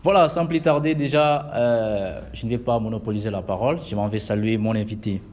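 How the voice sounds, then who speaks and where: A man's voice: on the low side, a little fast, darkish tone. A man from Congo hosting a web TV interview in a church, talking with emphasis and switching between French and Lingala. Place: inside a church.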